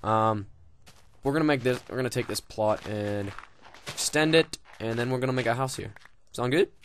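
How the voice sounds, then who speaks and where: A man's voice: mid-range voice, normal speed, a little hiss or hum. A young man casually commenting on his game, rambling and joking with lots of swearing and fillers. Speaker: gaming video host.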